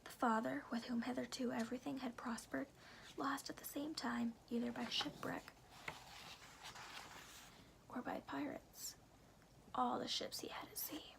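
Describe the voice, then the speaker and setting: A woman reading a storybook aloud in a soft, breathy ASMR whisper, phrasing slowly and deliberately with careful pauses. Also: high-pitched, steady tone, rough recording.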